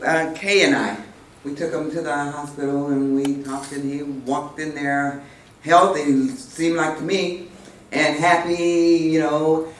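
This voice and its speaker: A woman giving an unscripted memorial tribute in a halting way, with many pauses and restarts. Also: deep voice, slight background noise. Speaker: friend speaking at a memorial tribute. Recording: echoey hall from far off.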